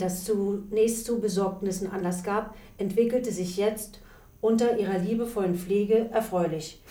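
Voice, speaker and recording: A woman playing a stern but quietly sympathetic prison warden in a radio drama. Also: medium pitch, close to an everyday voice. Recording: roomy sound, faint noise.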